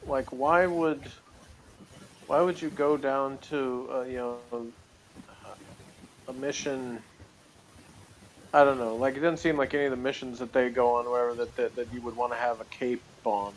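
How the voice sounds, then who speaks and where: A man's voice: medium pitch, fairly even intonation, normal speed, long pauses, rough recording. Man chatting casually over background noise. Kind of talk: casual review chat. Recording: clear background room noise.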